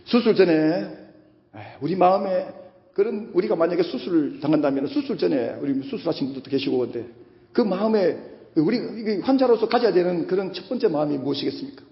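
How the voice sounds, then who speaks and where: A male pastor's husky voice rings out quite high, rising and falling in big sweeps as he preaches. He leans on repeated phrases and pointed questions to the congregation. The sound carries a long church-hall reverb. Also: lively intonation, fairly quick, dull audio. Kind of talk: Sunday sermon.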